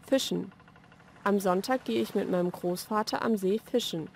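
A woman reads short scripted vocabulary lesson sentences in a clearly enunciated, measured way. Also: medium pitch, darkish tone, slight background noise. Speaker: language lesson narrator. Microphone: studio voice-over recording.

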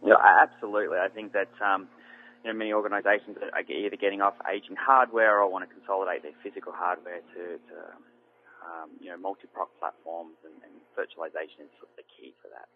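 An Australian man with a low voice answers technical questions in a relaxed, unhurried way. His sentences often fade out around frequent ums. He comes through a thin, tinny phone line. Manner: relaxed, trails off quietly with many ums. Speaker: guest product manager.

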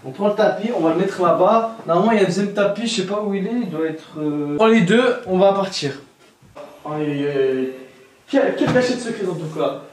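A young man vlogging casually at home, excitable and chatty, talking straight to his viewers and laughing often. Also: high voice, a little fast, a little hiss or hum. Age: young adult. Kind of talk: casual vlog to camera. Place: indoor bedroom at home. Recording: roomy echo, voice from off-mic.

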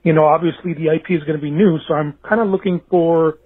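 A man's voice: on the high side, fairly even intonation, fast talker, husky. Man on a tinny phone voicemail. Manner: friendly, orderly, lists points. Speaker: caller. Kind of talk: voicemail message.

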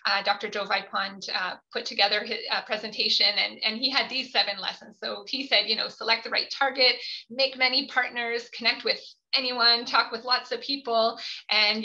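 A woman speaks over a slightly dull video call, her voice very bright and forward. She talks in an earnest, halting way, full of ums and you-knows, as she presents to a webinar audience. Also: medium pitch. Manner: hesitant, many fillers, earnest. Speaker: family physician presenting a webinar.

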